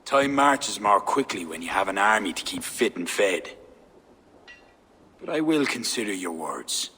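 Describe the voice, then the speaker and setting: An actor playing a proud, distrustful high king in a video game cutscene, speaking in a stern, measured way. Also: expressive, bright, forceful tone, slight background noise. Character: proud wary Irish high king, middle-aged.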